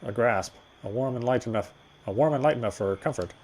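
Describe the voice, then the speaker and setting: A man reading the first-person narration of a horror visual novel in a measured, brooding way. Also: mid-range voice, a little mellow, slight background noise. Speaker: reader.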